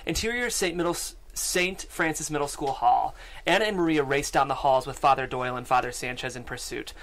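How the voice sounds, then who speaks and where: A man's voice: medium pitch, fairly quick, a bit bright. A young man hosting and reading a script, speaking casually into a close studio microphone. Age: young adult. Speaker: show host and stage-direction reader. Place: small podcast studio. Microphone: close studio microphone with foam windscreen.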